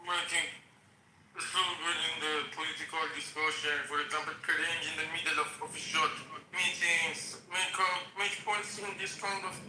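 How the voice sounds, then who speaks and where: A man with a Persian accent speaking haltingly in a group voice chat. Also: a little high, flat delivery, bright, forceful tone, reverberant, slight background noise, poor audio. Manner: halting, searching for words. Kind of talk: voice chat discussion.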